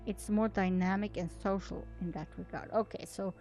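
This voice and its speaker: A woman's voice: medium pitch, steady tone, normal speed, a little mellow, faint music behind. A woman whose first language is not English, reading an article aloud with casual commentary as a video host, often stumbling over words and restarting. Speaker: gaming video creator and host.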